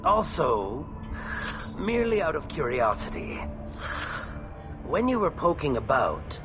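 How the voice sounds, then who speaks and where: A man's voice: on the high side, sing-song, unhurried, music in the background. A man voicing a shrewd, ingratiating alien merchant in a video game, with sly, oily politeness. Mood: sly, coaxing.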